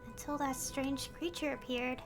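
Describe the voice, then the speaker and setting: A curious young traveler speaks in a high voice. She talks slowly and thoughtfully. Faint game music plays behind her. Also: fairly even intonation. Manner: thoughtful, inquisitive.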